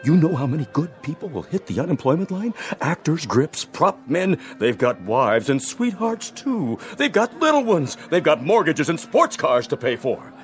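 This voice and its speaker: An actor playing a persuasive older movie producer, sentimental and theatrical, in a radio drama. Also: male voice, a little high, very animated, normal speed, hardly any pauses. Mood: pleading. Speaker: film producer. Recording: studio radio play with music.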